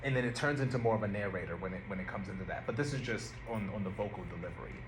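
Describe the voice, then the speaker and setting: A man's voice: fairly low pitch, rapid-fire. A man directing a rehearsal, giving notes in a loose, conversational way and often searching for words. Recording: roomy and noisy rehearsal room.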